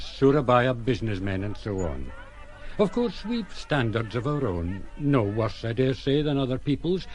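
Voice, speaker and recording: An actor playing a blunt, gossipy sea captain, narrating an audio drama in a wry, conversational way. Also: male voice, mid-range voice, darkish tone, lightly acted.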